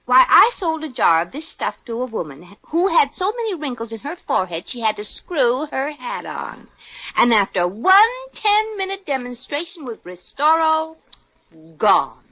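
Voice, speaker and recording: An actress playing a brash, wisecracking young working woman with quick sales patter in a 1950s radio comedy. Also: high voice, sing-song, sharp tone, no echo, exaggerated voice. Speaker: lead character. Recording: dull lo-fi old radio broadcast.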